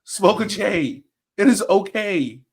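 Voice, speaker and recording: A man's voice: high voice, expressive, takes it slowly, slightly rough. A man hosting a casual sports podcast chat, easygoing and slangy, often repeating phrases for emphasis. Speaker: podcast host.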